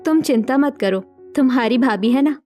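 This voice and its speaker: A woman's voice: high voice, normal speed, mellow, faint music behind, natural voice. A woman voicing a caring, hardworking young daughter-in-law in a village story, earnest and reassuring. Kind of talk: scripted story dialogue.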